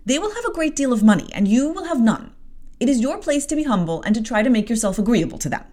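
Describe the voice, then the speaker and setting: A prim, disapproving lady's maid, high and loud with sharp indignation, voiced by a woman reading a novel aloud. Her pitch leaps about as she moralizes in a storybook reading. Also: very animated, quick pace. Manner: harsh, disapproving. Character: harsh, self-righteous older lady's maid.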